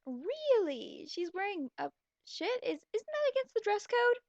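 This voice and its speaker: A high-pitched woman delivers sarcastic, exasperated commentary on a story. Her voice has a gravelly fry to it, and the recording is dry and somewhat dull. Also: big pitch swings, a little slow, darkish tone, no room sound. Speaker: commentator reading a story aloud. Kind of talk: mocking commentary on a read-aloud story.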